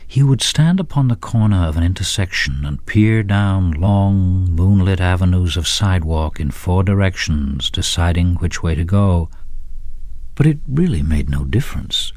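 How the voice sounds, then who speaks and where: A man reading a literary story as dramatic radio narration, with deliberate, atmospheric phrasing. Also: deep voice, darkish tone, no room sound. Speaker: story reader.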